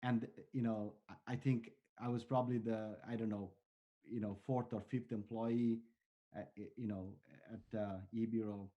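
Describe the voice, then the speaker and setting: A man's voice: on the low side, little pitch movement, normal speed, dull audio. A man in his forties from Bangladesh, a startup founder answering interview questions in an earnest, rambling way. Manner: earnest, talks in long strings of restarts and fillers.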